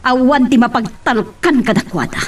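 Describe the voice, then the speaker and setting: A woman's voice: on the high side, animated, moderate pace. A woman playing an outspoken, meddling daughter in an Ilocano family audio drama. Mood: insistent.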